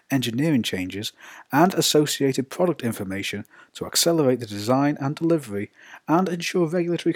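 A man's voice: mid-range voice, breathy edge, no echo. Dry-sounding male podcast host. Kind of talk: scripted introduction and interview questions.

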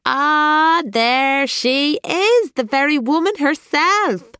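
A woman voicing a gossipy male village cafe owner with a booming, sing-song Irish accent, loud and nosy. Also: expressive, takes it slowly, no room sound, studio quality, heavily acted. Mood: hearty.

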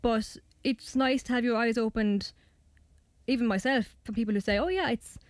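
A woman's voice: on the high side, steady tone, moderate pace, dry recording. A young Irish woman talking thoughtfully about her documentary and narrating it. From Ireland. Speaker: guest and documentary maker. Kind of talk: interview chat and scripted narration.

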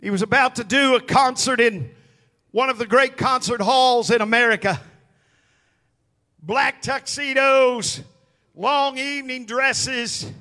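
Slow, high-pitched preacher with a piercing voice. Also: male voice, sharp tone, clean tone. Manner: emphatic, calls on the congregation to respond. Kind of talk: sermon to a congregation.

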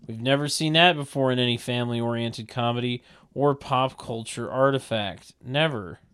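A man narrating a comedy table read in a deadpan way, with mocking sardonic asides. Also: medium pitch, normal speed, clean tone, dry recording. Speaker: narrator reading stage directions.

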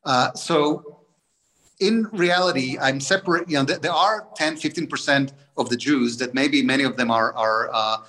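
A man's voice: mid-range voice, normal speed, pushed and bright, poor audio. A man, an author guest on a webinar interview, explaining his research with many restarts and fillers.

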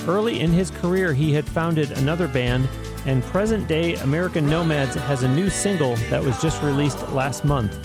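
A man's voice: on the high side, moderate pace, music in the background. A man hosting a podcast interview in an articulate, orderly way, with prepared introductions and questions. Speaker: podcast host.

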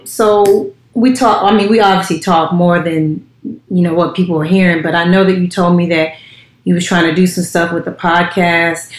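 A woman's voice: lowish voice, roomy sound. Laid-back woman podcast host. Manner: laid-back, playful, laughs easily. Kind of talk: casual interview chat.

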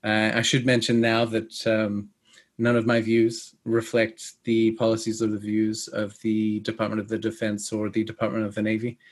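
Measured Australian man with a flat voice. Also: on the low side. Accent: Australian, close to British. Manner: measured, with many pauses and fillers. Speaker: guest. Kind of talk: podcast interview.

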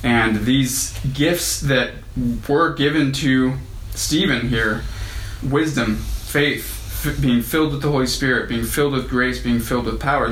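A man delivers a sermon, talking through scripture in a loose, conversational way and lifting his voice when he reads the verses. His voice sits at an ordinary middle pitch. The room is echoey, with a faint hum of ambience behind him. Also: fairly bright tone. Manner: conversational, reads verses aloud with rising emphasis. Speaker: pastor.

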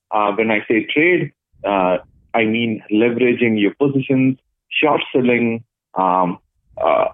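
A man's voice: mid-range voice, no echo. Man on a tinny phone line. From Chennai, India. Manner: thoughtful, hesitant with frequent uhs. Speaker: guest, blockchain project lead. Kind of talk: interview answers.

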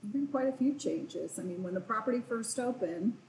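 A woman's voice: medium pitch, lively intonation, a little fast, vocal fry, a little mellow, echoey room, a little hiss or hum, poor audio. A woman, a historic site manager, answering interview questions in an informative, matter-of-fact way.

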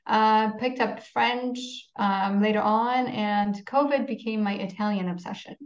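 A woman's voice: medium pitch, a little slow. Casual lady, 40s, dry humor, lots of uh's, echoey muffled video call. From Poland. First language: Polish. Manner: candid, dry humor, frequent "uh" fillers. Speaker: guest, company CEO. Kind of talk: casual interview conversation. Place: home office. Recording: roomy, dull video-call audio.

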